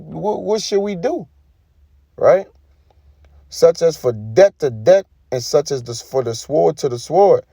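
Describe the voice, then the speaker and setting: A man's voice: mid-range voice, mellow, no echo, clean studio sound. A man giving an informal sermon, reading scripture and commenting in an emphatic, colloquial way with frequent tag questions. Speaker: preacher.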